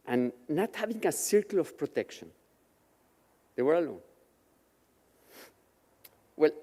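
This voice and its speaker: A man from Mexico, raised with Yiddish as his first language, tells his life story in a slow, measured way. His voice is mellow and moves expressively up and down. The sound carries a long reverb from the chapel. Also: a little high. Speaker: guest speaker at a Shabbat service. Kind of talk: personal testimony speech. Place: synagogue chapel.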